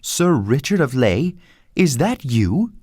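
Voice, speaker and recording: A man voicing a bold, cheerful outlaw leader, speaking in a higher, brighter tone than his narration. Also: very animated, slow, slightly rough, dry recording, studio quality, full character voice. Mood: welcoming.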